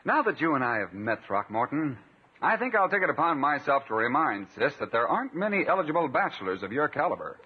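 Husky flattering moocher, old radio. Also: male voice, mid-range voice, fast talker. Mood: ingratiating. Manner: ingratiating, self-important. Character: smooth-talking freeloading brother, self-important, flattering moocher. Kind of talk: 1950s radio comedy acting. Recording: thin, narrow 1950s radio recording.

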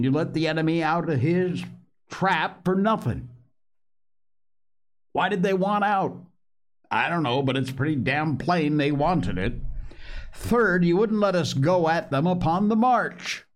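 A rebellious pirate crewman, as a man puts him on, high and loud, laying out charges in blunt rough sailor talk. He sounds quick and pushy, his pitch climbing sharply. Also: lively intonation. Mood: accusing. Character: surly male pirate crewman, rebellious, accusing. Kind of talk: audiobook character reading.

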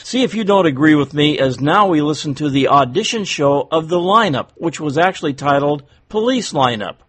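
A man's voice: a little high, animated, normal speed, a bit bright, breathy edge. A man hosting an old-time radio podcast, giving a scripted introduction.

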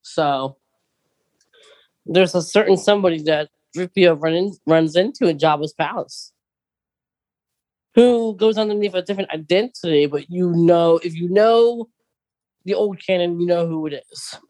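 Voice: mid-range voice, moderate pace, pauses often, a little mellow, dry recording, low-quality recording. A podcast co-host chatting casually and animatedly about a fan topic.

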